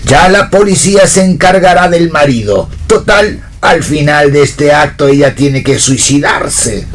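A man's voice: fairly high pitch, lively intonation, a little slow. A man acting a pompous, indignant, privileged father in a radio play, speaking in an emphatic, oratorical way. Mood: pompous, scornful. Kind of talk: read theatre for radio.

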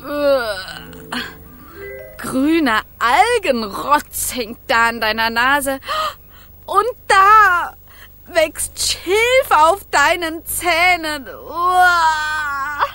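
A woman's voice: high-pitched, lively intonation, drawn-out words, pushed and bright, soft background music, exaggerated voice. A woman voicing an eager, defiant young fire sprite in a children's radio play, exclaiming and commanding in a sing-song manner. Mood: disgusted.

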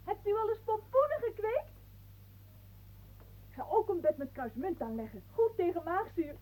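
Schoolgirl niece, very high pitch, dreamy, self-absorbed, cheeky, radio drama delivery. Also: very animated, normal speed, darkish tone, poor audio. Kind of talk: theatrical radio play acting.

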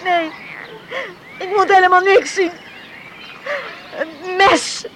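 A woman's voice: very high-pitched, fairly even intonation, takes it slowly, background noise. An actress in a Dutch radio play as a caring but firm, down-to-earth countrywoman. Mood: alarmed, indignant.

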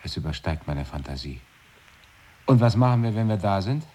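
Give me a deadpan man with a very low, husky voice, talking in dry logic. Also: normal speed, heavily acted. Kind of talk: experimental radio play dialogue.

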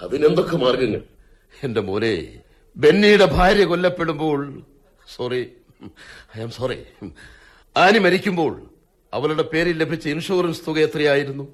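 A man playing a cunning, domineering older father, a retired forest ranger, in a radio drama, speaking in a stern, probing, measured way. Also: on the high side, expressive, lightly acted. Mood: sly, menacing. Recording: radio drama with music behind.

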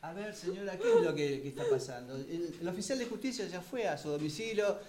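A woman's voice: lowish voice, lively intonation, fairly quick, mellow, a little hiss or hum, natural voice. A woman with a Rioplatense Argentine accent playing a chatty, gossipy law-office colleague in a radio drama, rambling and repetitive. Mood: official, puzzled. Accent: Rioplatense Argentine Spanish. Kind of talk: improvised radio drama acting.

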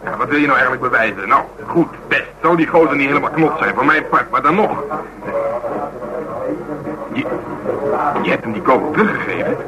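An actor with a Netherlands Dutch accent playing a grumpy, fanatical police detective, grumbling and sarcastic in colloquial radio drama dialogue. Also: male voice, mid-range voice, animated, brisk, long pauses, natural voice, rough recording. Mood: exasperated.

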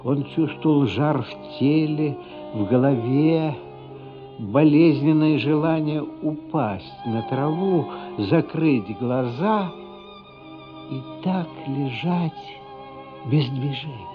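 A man narrating a literary radio play in a measured, suspenseful, dramatic storytelling manner. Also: fairly high pitch. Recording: dull, band-limited radio play recording. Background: music.

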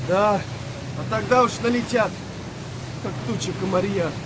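An actor playing a hesitant, doubting wartime sailor in a radio play, with halting colloquial speech. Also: male voice, high-pitched, noisy background, close to an everyday voice. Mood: matter-of-fact.

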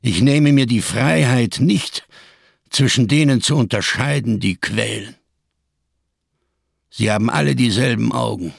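Gravelly, embittered camp survivor. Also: male voice, medium pitch, a little slow, long pauses, dry recording, clean studio sound, full character voice. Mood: grave, defiant. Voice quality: gravelly, husky. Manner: solemn, rhetorical, biblical diction, bitterly sardonic. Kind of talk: German radio crime drama monologue.

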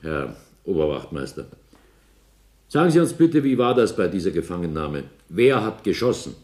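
A presiding judge conducts questioning in a radio play. His voice is fairly low and echoes through the court. He is formal, stern and authoritative. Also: very animated, moderate pace, darkish tone. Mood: probing. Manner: formal, interrogating. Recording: echoey 1970s radio drama studio.

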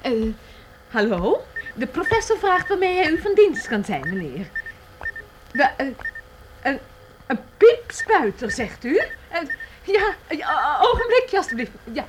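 An actress playing a pert, outspoken young female helper in a children's radio play, polite but cheeky and quick to exclaim. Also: high-pitched, big pitch swings. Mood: flustered, polite. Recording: noisy old radio recording.

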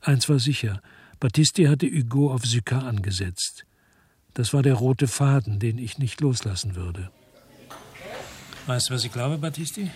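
An actor playing a weary, dogged French detective who narrates his noir radio crime story in a measured, laconic way. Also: mid-range voice, animated, a little mellow, breathy edge. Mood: brooding, then pressing, low-key. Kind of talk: first-person narration in a noir radio drama.

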